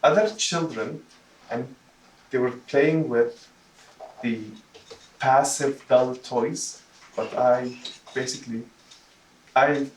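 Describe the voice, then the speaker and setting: A man from Jordan delivers a personal speech in a roomy, echoing space. He is picked up from a distance, his voice mid-pitched, and he moves haltingly through his phrases. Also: steady tone, slight background noise. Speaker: club member giving a first speech. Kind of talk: prepared personal speech to a club audience. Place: meeting room, recorded from a distance. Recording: roomy echo, distant microphone.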